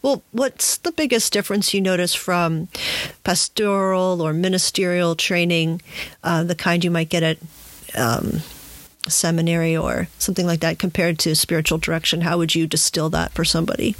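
A woman's voice: fairly low pitch, fairly even intonation, moderate pace, no room sound. A woman hosting a reflective conversational interview, searching for her words as she goes. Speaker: podcast host.

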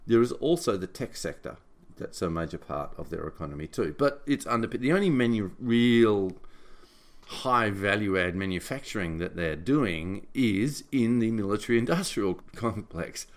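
A man giving informal solo political commentary in a deliberate, emphatic and opinionated way. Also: fairly low pitch, animated. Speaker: podcast host and writer summarizing own article.

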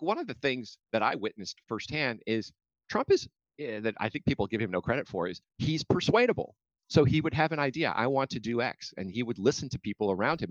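American man, mid-pitched voice, political interview guest, argumentative, restarts sentences, slightly lo-fi recording. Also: no room sound. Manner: argumentative, halting restarts when rebutting. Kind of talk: lively political debate. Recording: slightly dull, lo-fi audio.

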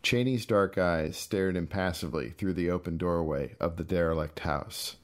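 Man, story reader, deep low pitch, literary narration. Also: moderate pace.

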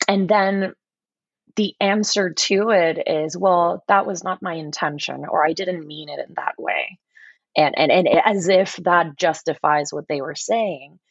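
A woman's voice: deep voice, gravelly, slightly rough, dry recording, dull audio. A woman from Puerto Rico, a podcast guest, speaking earnestly and passionately, often repeating words as she starts a thought. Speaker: podcast guest, yoga teacher. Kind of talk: casual podcast conversation.

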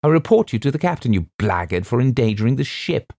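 A man plays an imperious gentleman passenger in a sea story. He is rough and scolding with servants, his delivery forceful in manner, recorded dry and close. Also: low voice, normal speed, no echo. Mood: furious.

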